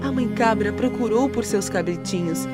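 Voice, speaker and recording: A woman narrating a scripted children's storybook in an expressive, dramatic way. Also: mid-range voice, moderate pace. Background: music.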